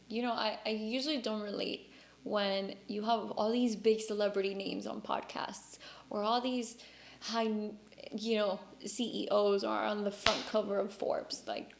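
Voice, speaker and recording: A woman's voice: medium pitch, moderate pace, vocal fry. A woman from Cuba, a native Spanish speaker, hosting a casual conversational podcast in an earnest, emphatic way. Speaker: podcast host.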